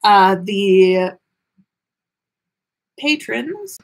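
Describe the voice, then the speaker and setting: A woman hosting a story livestream, reading aloud and chatting in an expressive, effusive way. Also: mid-range voice, drawn-out words, gravelly. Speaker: podcast host reading listener stories.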